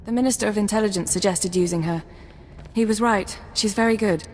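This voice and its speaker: An actress playing a precise, determined intelligence handler, speaking in a controlled, businesslike way in a video game. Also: female voice, mid-range voice, a little fast, a little mellow, long reverb tail, faint music behind, natural voice. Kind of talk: video game drama acting.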